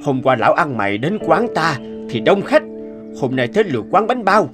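Cartoon folk tale: scheming, scolding middle-aged male merchant, lively swooping delivery, raised pitch. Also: moderate pace, over music. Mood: calculating. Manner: exclamatory, scolding. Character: scheming, grumpy middle-aged male roast-duck seller. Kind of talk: animated folk-tale dubbing.

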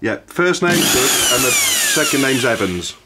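Hesitant man, loud drill noise. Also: mid-range voice, wildly swooping pitch, unhurried. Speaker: prank caller. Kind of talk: casual phone call. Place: indoors, kitchen worktop. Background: loud electric drill, clicks.

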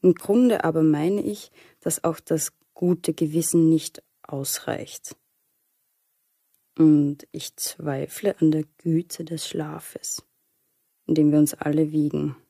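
A man performing a somber, brooding speaker who recites poetry in a radio play, with a husky, creaky voice. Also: on the high side, steady tone, slow pace, warm tone, no echo, clean studio sound, full character voice. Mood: grave, brooding. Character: somber poetic prologue speaker, grave and brooding.

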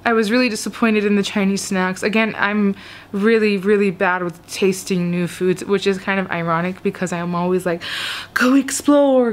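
A woman in her twenties from the United States, chatting to a handheld camera as she tells a travel story with lots of fillers. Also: mid-range voice. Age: 20s. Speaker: travel vlogger. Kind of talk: casual vlog storytelling to camera. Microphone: handheld camera held close.

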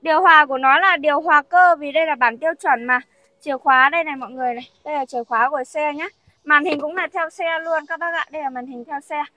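A young saleswoman talks viewers through a used car in a casual, chatty way, circling back over the same points again and again. Her voice sits high and sounds bright and clear, recorded dry and close with no room echo. She comes across as a friendly showroom seller giving a tour. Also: steady tone, fast talker. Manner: chatty, repeats herself, addresses viewers as "các bác".

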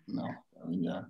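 Hesitant man on a roomy call. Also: muffled recording. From Switzerland. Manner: hesitant, many restarts and fillers. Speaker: book designer. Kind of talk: casual chat. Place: room with bookshelves. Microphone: video call. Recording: roomy video call audio.

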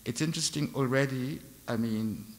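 A man giving an unscripted art history lecture from a lectern, talking in a halting way with many fillers and restarts. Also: mid-range voice. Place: large dark lecture hall. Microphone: lectern microphone. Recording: long hall reverb.

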